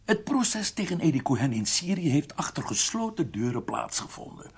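An actor playing a formal, menacing Egyptian prison doctor who interrogates with measured politeness in a radio drama. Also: male voice, fairly high pitch, big pitch swings, breathy edge. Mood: contemptuous.

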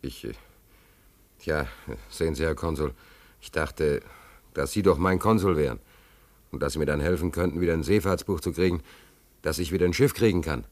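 An actor in a German radio play playing a stranded, penniless American sailor, plain-spoken, hesitant and stubborn. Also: male voice, rumbling low, normal speed, long pauses, a little mellow, no room sound. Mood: hesitant, pleading.

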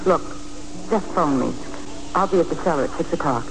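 A woman's voice: low voice, a little fast. An actress playing a wisecracking, caring working woman with dry, sassy quips in a 1940s radio drama. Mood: wry. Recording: noisy, hissing 1940s radio recording.

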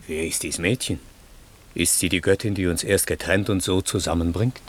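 A man's voice: low-pitched, steady tone, normal speed. A man playing a noble older king and father, full of wonder, declaiming verse solemnly in a classic radio play. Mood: moved, solemn.

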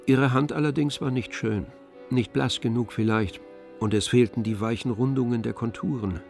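A man narrating a literary story in a measured, descriptive manner. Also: mid-range voice, gravelly, darkish tone, faint music behind.

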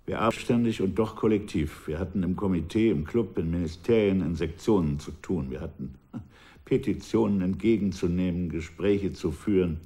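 Guy playing an old ex-revolutionary, deep low voice, wistful and hushed, radio play. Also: close to an everyday voice. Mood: reflective. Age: older. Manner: measured, wistful, often hushed. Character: aging male ex-revolutionary, reflective and proud. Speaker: former revolutionary recalling his past. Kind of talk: radio drama acting, reflective narration.

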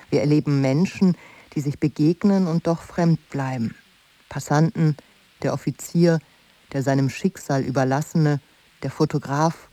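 A woman's voice: deep voice, dark tone, no room sound. A woman presenter reading a scripted introduction to a radio play. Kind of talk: scripted narration.

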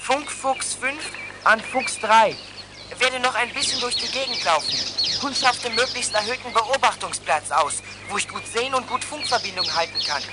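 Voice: medium pitch, normal speed, natural voice. Actor playing a young scout on noisy radio. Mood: calm, brisk. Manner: telegram-style radio reports. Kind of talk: 1980s German youth radio play acting.

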